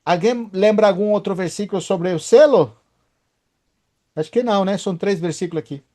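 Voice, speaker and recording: A man's voice: sits high, fairly quick, dark tone. A man leading a Bible study, emphatic and often asking his listeners for agreement.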